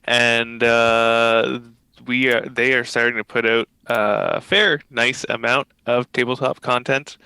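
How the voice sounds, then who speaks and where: A man game master talking slowly and hesitantly about his upcoming games. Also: medium pitch, steady tone, gravelly, pushed and bright, smooth, dry recording. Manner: slow, hesitant, drawn-out talk. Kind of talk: casual stream chat.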